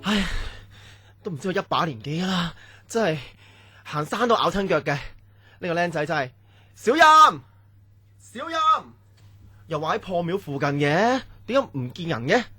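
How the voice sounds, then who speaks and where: A man's voice: on the high side, lively intonation, moderate pace, pauses often, fairly bright tone, slightly rough, no echo. A man playing an exasperated camp instructor in a Cantonese radio drama, with a Hong Kong accent and colloquial delivery. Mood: puzzled. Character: grumbling male camp instructor searching the hillside. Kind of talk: radio horror drama acting.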